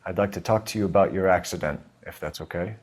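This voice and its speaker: An actor playing an earnest male police detective who questions people in a firm, measured way. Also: deep voice. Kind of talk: video game voice acting.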